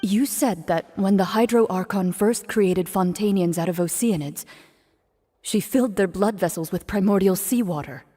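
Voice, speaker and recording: Actress as a composed, mellow-voiced woman. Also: medium pitch, normal speed, close to an everyday voice. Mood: thoughtful. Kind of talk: video game voice acting.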